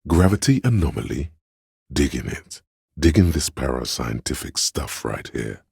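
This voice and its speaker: A gravelly, very deep, grandiose inner voice. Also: male voice, big pitch swings, darkish tone, no echo, studio quality, heavily acted. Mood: grandiose, enthused. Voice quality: gravelly, husky bass. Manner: dramatic, florid, deliberate. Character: grandiose, artsy inner voice of a detective's imagination. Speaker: video game narrator. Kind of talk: theatrical, literary game narration.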